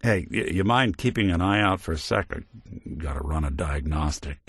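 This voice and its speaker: A man's voice: low voice, lively intonation, moderate pace, husky, dry recording. A male actor playing a weary, wry, principled synth detective in a video game, with an American accent and hard-boiled noir delivery. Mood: dry, wry. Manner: dry, wisecracking, world-weary. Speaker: companion character in a video game.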